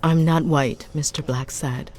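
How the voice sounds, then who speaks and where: A woman's voice: low-pitched, steady tone, measured pace, darkish tone, soft background music. An actress playing an anxious, polite woman in a 1950s noir game, speaking in an earnest, apologetic way. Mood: defensive. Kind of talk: dramatic game dialogue.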